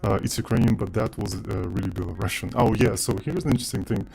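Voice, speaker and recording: Gravelly low guy streaming his coding, mumbly and offhand, swears a lot. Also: fast, a little mellow, soft background music. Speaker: streamer and programmer. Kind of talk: casual live-stream chat while coding.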